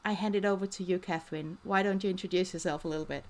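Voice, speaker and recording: A woman's voice: fairly low pitch, steady tone, very fast, darkish tone. A woman hosting a podcast, opening with an upbeat scripted introduction and then interviewing her guest. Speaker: podcast host.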